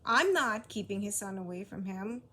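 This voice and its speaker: A woman's voice: mid-range voice, expressive, moderate pace, sharp tone. A mother talking hesitantly in a casual conversation, often trailing off with "I don't know.".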